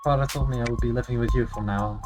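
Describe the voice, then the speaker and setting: A man's voice: medium pitch, steady tone, racing, close to an everyday voice. Man voicing Sarah, a literal-minded artificial child over music. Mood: matter-of-fact. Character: artificial being named Sarah, childlike, literal, innocently logical. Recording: loud music behind the voice.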